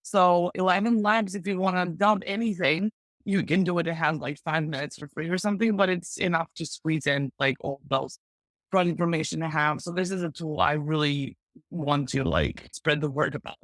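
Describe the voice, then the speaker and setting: A woman's voice: low-pitched, normal speed, no room sound. A woman guest on a podcast, giving practical e-commerce advice in a chatty, enthusiastic way, sprinkling in lots of "like". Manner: chatty, enthusiastic, full of "like" fillers. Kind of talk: casual advice-giving interview talk.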